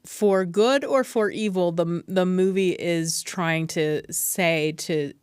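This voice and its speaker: A woman's voice: on the low side, slow, a little mellow, smooth, no echo, studio quality. A woman hosting a podcast, analysing film conversationally in a thoughtful, emphatic way. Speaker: podcast host, film theory teacher.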